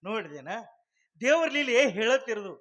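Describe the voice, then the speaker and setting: A man's voice: sits high, very animated, brisk, a bit bright. A man playing a bossy village father. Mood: grumbling. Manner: scolding, insistent.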